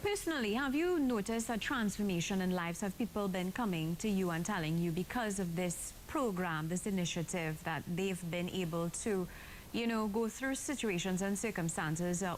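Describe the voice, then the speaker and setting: A woman's voice: normal speed, low-quality recording. A woman asking questions as the host of an interview. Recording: faint background rumble and noise.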